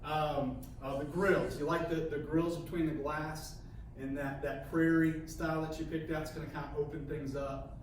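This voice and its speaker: A man leading a sales training session, halting and repeating words, often ending points with "okay?". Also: on the high side, little pitch movement. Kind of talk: coaching a training session with role-play. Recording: echoey room, mic far off, faint hiss.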